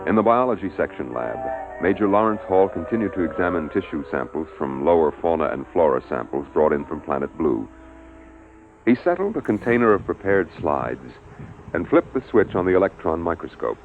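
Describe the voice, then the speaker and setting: A man acting as a grave narrator of an old science fiction radio drama, formal and measured. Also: deep voice, big pitch swings. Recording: muffled vintage radio recording, music behind. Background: dramatic music.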